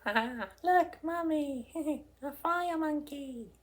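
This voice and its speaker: A storybook reader voicing an excitable, delighted little girl. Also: sits high, slow pace, voice pushed up.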